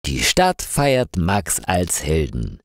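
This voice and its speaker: A man narrating a scripted story for language learners, reading slowly and carefully articulated with lively intonation. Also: low voice, dry recording.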